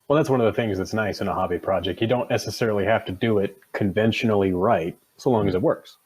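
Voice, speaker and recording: American man, low and husky, casual developer chat, jargon-heavy, self-deprecating. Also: fast talker, a little mellow. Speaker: video game developer.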